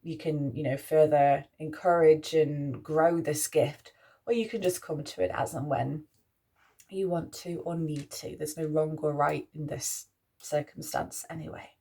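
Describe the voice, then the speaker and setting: A young woman with a Mancunian accent, a priestess talking softly through her spiritual values with frequent restarts. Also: low-pitched, steady tone, a little slow, slightly rough. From Manchester, England. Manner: musing, soft-spoken, with frequent restarts. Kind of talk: spoken reflection on spiritual values.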